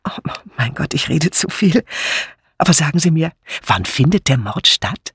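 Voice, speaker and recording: A man's voice: fairly high pitch, sing-song, a little slow, a bit bright, husky, no room sound, studio quality, voice pushed up, exaggerated voice. A man voicing a chatty, scatterbrained middle-aged vicar's wife who rambles on breathlessly in an audiobook reading. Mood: gushing. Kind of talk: audiobook character voice.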